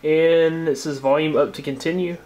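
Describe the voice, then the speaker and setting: Higher-pitched American male tech host. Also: steady tone, moderate pace, a little mellow. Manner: step-by-step, informal explaining. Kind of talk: casual instructional narration.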